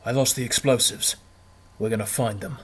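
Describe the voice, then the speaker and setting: A man's voice: medium pitch, steady tone, moderate pace, fairly bright tone, husky. A man playing a brave young survivor leader in an audio drama, speaking in short, urgent phrases. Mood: tense.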